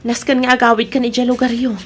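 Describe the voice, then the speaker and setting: A woman playing an earnest, supportive wife in an audio drama, speaking in a measured, matter-of-fact way. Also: a little high, completely flat delivery, a little hiss or hum, lightly acted. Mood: concerned. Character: earnest, supportive wife urging her husband. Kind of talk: Ilocano audio drama acting.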